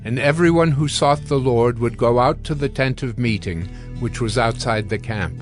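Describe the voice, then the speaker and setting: A man's voice: medium pitch, moderate pace. A man narrating scripture as a dramatic, scripted audio production. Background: cinematic music.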